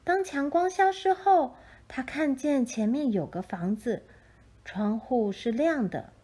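Slow, mellow woman reading a storybook. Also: high-pitched, slightly rough. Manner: gentle, expressive storytelling. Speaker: storybook narrator. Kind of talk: scripted children's story narration.

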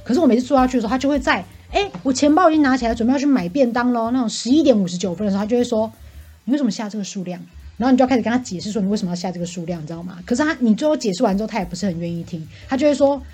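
一位年輕的台灣女性，台灣腔中文，像在播客裡閒聊抱怨，講話直白又愛感嘆。. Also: female voice, a little high, dark tone, slightly rough. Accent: Taiwanese Mandarin. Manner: talkative, exclamatory, bluntly complaining. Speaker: podcast co-host venting about work. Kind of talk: casual chat.

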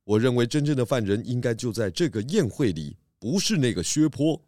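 An actor playing a calm, methodical detective chief with measured, polite, reassuring questioning. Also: male voice, medium pitch, no echo, natural voice. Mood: reasoning. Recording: dry close voice over faint music.

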